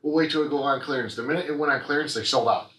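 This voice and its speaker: A man's voice: medium pitch, racing, fairly bright tone. American man vlogging in an echoey room. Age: young adult. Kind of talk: casual chat, rambling explanation. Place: small living room, on a couch. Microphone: camera mic a few feet away. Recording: roomy echo, noisy camera audio.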